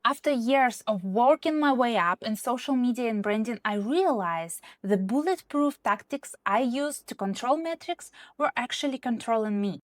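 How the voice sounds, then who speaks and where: Direct, higher-voiced woman, non-native English speaker. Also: dry recording. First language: not English. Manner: direct, emphatic, advice-giving. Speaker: social media and branding consultant. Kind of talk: scripted talk to camera.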